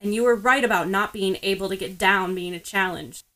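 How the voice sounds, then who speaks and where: A woman voicing a gruff, impatient teenage boy in an audiobook, curt and annoyed. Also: medium pitch, a little fast, sharp tone, natural voice. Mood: blunt.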